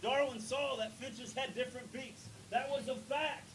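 A man preaching a sermon in church, reading scripture, praying and building to loud emphatic points with drawn-out words. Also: steady tone. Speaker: pastor. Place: church sanctuary. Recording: noisy with low rumble.

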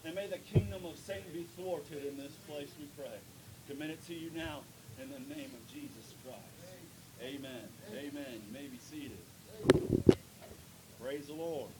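Male pastor, higher-pitched, emphatic preacher, noisy rumbly church recording. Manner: builds to loud emphatic preaching with drawn-out stresses. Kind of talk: sermon with prayer and scripture reading. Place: church sanctuary.

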